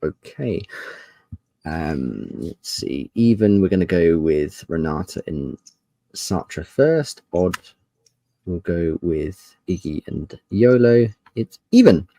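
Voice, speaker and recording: A man's voice: low-pitched, lively intonation, takes it slowly, mellow, dry recording. A man running a tabletop role-playing game as game master, narrating casually and thinking aloud as he goes.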